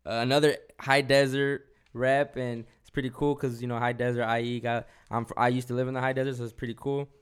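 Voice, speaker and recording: A young man from hosting a casual podcast chat, profane and relaxed, laughing often. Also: medium pitch, fast, few pauses, clear, resonant tone. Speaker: podcast host.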